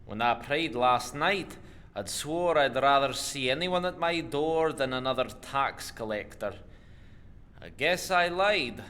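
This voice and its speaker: An actor playing a bitter, blunt ex-army farmer with debts and a taste for drink in a fantasy audio drama. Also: male voice, mid-range voice, lively intonation, slow pace, pushed and bright. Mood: bitter, grudging. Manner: blunt, curt, grudging.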